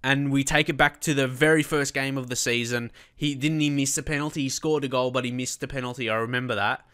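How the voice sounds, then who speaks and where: Let's-play host, man with a head cold, rambling then excited, bright smooth tone. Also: mid-range voice, fast talker. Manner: chatty, many fillers, bursts of excitement at goals. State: blocked nose from a cold. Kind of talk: casual gameplay commentary.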